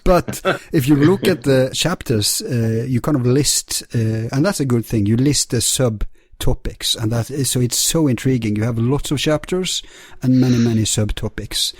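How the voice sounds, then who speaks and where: A man's voice: medium pitch, normal speed, breathy edge, dry recording. A man hosting a podcast interview, chatting casually in an emphatic, opinionated manner. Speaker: podcast host interviewing an author.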